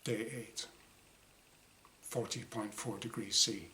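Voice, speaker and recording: A man reading his own first-person short story dramatically, in clipped diary-like phrases with pauses. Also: lowish voice, fairly even intonation, bright, forceful tone. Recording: clearly noisy room ambience.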